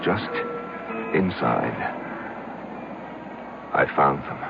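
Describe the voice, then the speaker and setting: An actor playing a haunted man confessing his strange past, with halting, repetitive, dramatic delivery in an old-time radio drama. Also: extremely low pitch, sing-song, vocal fry, over loud music, exaggerated voice. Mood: intense, haunted. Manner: halting, repeating, dramatic pauses. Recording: noisy, dull old radio broadcast.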